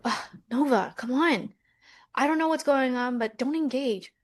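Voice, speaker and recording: An actress playing a sceptical, deadpan Millennial American twin in a romcom table read. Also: female voice, high voice, moderate pace. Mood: wry, practical.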